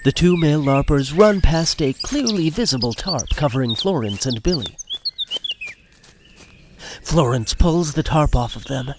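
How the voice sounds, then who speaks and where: A man's voice: a little high, expressive, measured pace, noisy background. A man narrating a scripted comic audio drama in a wry storytelling manner. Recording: music behind the voice.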